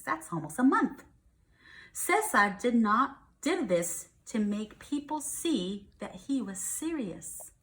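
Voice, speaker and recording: A woman's voice: medium pitch, fairly bright tone. An American woman, a first grade teacher, reads aloud to young children and explains things simply, checking in with questions.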